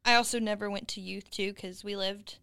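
A woman in her twenties, a podcast guest chatting in a relaxed way. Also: medium pitch, a little fast, gravelly, clear, resonant tone. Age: 20s. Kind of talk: casual chat.

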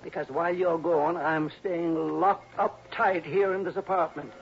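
An actor playing a stingy, suspicious old uncle in an old-time radio drama, blustering and querulous. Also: male voice, a little high, fairly even intonation, a little slow, vocal fry. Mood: blustering, demanding. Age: older. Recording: noisy, muffled old radio recording.

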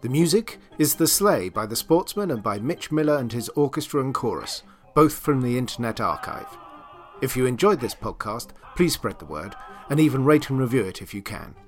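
A man's voice: mid-range voice, lively intonation, moderate pace. A man reading scripted closing credits as a podcast announcer. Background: faint music.

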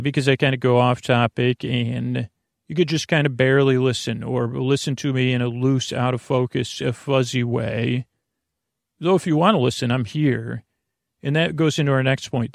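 A man with a gravelly, creaky voice at a middling pitch, recorded close and dry. He rambles as a bedtime podcast host, wandering off topic, halting and starting his sentences over. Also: no echo. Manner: meandering and halting, trails off and restarts sentences.

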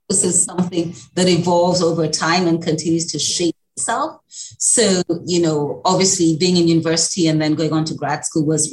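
A woman's voice: on the low side, reverberant, poor audio. A woman from Kenya with a Kenyan accent, answering interview questions in a reflective, conversational way. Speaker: interview guest, executive director.

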